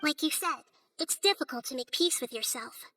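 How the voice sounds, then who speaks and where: Bright, high-voiced childlike goddess. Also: female voice, animated, normal speed. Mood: cool, probing. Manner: poised, articulate, quietly firm. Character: small, childlike female god of wisdom, calm and shrewd. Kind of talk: video game cutscene acting. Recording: studio voice over game music.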